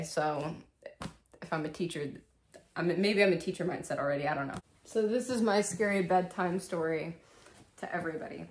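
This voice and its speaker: Casting: woman, 20s, lower-pitched voice, candid vlog host and casual storybook reader. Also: a bit bright, roomy sound. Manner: candid, swears freely, breaks off into asides. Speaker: video host reading a fairy tale aloud. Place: small bedroom, indoors.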